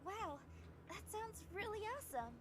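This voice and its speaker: A woman's voice: very high voice, big pitch swings, moderate pace. A woman playing a sweet, bubbly, girlish young cadet in an anime visual novel. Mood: admiring.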